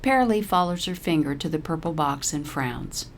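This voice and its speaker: A woman with a fairly low voice narrates a short story from a script. She reads as the storyteller between lines of dialogue. Noticeable noise runs behind her. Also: expressive, moderate pace.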